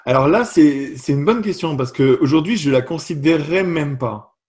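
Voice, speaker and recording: A man's voice: a little high, expressive, moderate pace, darkish tone. A man hosting an interview, asking questions with some hesitation and many fillers. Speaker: interview host.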